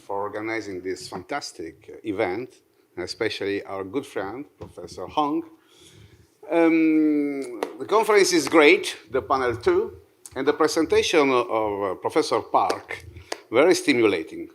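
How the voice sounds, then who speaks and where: A man, a conference discussant speaking in a halting, joking way at an academic panel. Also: mid-range voice, slight background noise. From France. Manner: lively, joking asides, halting. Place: conference hall.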